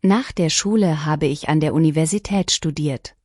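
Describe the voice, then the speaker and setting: A woman reading short lesson sentences one at a time, each clearly and deliberately, for learners to repeat. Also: deep voice, darkish tone, dry recording, studio quality. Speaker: language lesson reader. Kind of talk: scripted shadowing practice reading.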